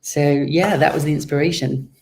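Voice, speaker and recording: A man giving conversational interview answers as a guest author, explaining things in a measured way. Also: a little high, steady tone, creaky, a little hiss or hum.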